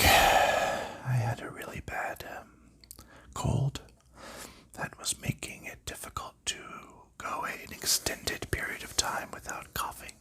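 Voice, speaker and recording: A man whispering softly through an ASMR board game show-and-tell, unhurried and gentle, with frequent fillers. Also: medium pitch, breathy edge. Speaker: ASMR creator.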